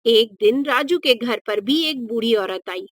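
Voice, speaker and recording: Slow, high-voiced woman storyteller. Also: lively intonation, no room sound, studio quality. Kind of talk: scripted narration of children's moral story.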